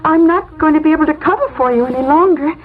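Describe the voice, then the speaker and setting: Actress doing a nervous young secretary, really high plaintive voice, hesitant, muffled old radio show. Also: female voice, quick pace, natural voice. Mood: hesitant, apologetic. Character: timid, frightened young female secretary. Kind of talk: 1970s radio drama acting. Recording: muffled 1970s radio recording, faint noise.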